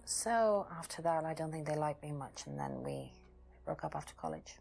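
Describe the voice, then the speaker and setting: A woman's voice: deep voice, wildly swooping pitch, normal speed, darkish tone, soft background music, heavily acted. A woman playing a guarded, uneasy young witness in a mystery game, speaking hesitantly and defensively. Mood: reminiscing. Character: guarded young female witness, uneasy former band singer. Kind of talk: acted dialogue in an FMV mystery game.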